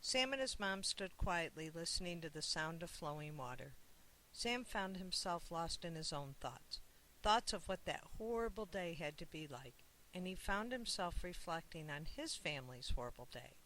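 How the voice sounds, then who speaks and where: Children's book narrator, author's own read: bright tone, scripted storytelling, light hiss. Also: medium pitch, animated, moderate pace, low-quality recording.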